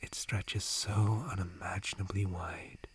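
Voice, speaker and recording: A man playing a gentle, calm, friendly dryad forest guardian in a breathy ASMR whisper, with a soothing manner. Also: sits low, slight background noise. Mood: calm, reflective. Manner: slow, soothing, unhurried. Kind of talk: ASMR roleplay.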